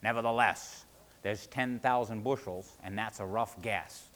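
A man voicing a surly old sea serpent in a folk tale, gruffly bossing and threatening. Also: fairly low pitch, steady tone, measured pace, fairly bright tone, voice pushed down. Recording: hall reverb, live-sounding room.

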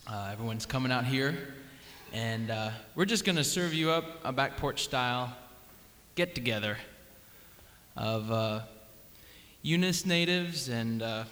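A musician, a man, greeting an audience in a relaxed, folksy way. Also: medium pitch, measured pace. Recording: distant echoey stage sound.

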